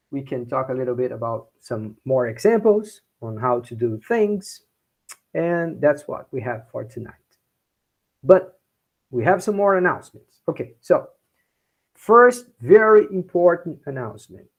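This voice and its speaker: A Brazilian professor with a Brazilian Portuguese accent, teaching a class in English, often checking in with "okay?" and repeating points in Portuguese. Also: male voice, fairly high pitch. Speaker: university professor. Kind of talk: bilingual class lecture.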